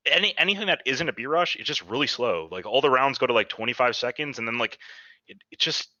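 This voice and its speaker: A man's voice: mid-range voice, expressive, fast talker, sharp tone, no echo, dull audio. A man co-hosting a podcast in casual chat, rambling and often trailing off. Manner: rambling, trails off into "I don't know". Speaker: podcast co-host.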